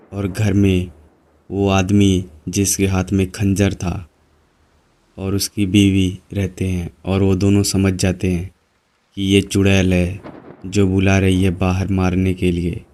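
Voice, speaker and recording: Deep, flat-voiced male horror narrator. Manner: even, unhurried storytelling. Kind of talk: storytelling narration for a horror cartoon.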